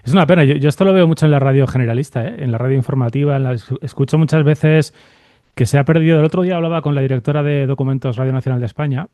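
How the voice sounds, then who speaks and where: Male podcast host, Spain Spanish accent. Quick, relaxed, conversational, musing aloud; close dry sound. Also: medium pitch, no echo. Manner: thinks aloud, seeks agreement with tag questions. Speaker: podcast host interviewing a guest. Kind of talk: casual, reflective interview conversation.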